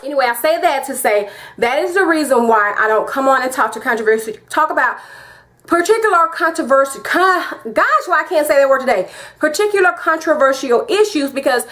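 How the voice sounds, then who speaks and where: Southern US woman vlogger, slightly high voice, emphatic, repeats her points, direct address to camera. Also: expressive, brisk, a bit bright. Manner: emphatic and repetitive, talks straight to viewers. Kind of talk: casual opinion vlog.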